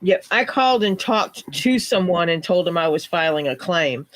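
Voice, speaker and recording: A woman's voice: fairly low pitch, animated, moderate pace, no room sound. Woman host, scratchy sick voice, chatty livestream talk, dry close mic. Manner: chatty, greets viewers by name mid-story. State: scratchy voice from illness. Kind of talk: casual livestream chat.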